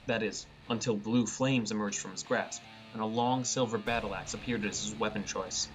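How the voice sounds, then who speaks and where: A man's voice: lowish voice, fairly even intonation, normal speed, low-quality recording. A man reading first-person fantasy narration as an audiobook narrator. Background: music.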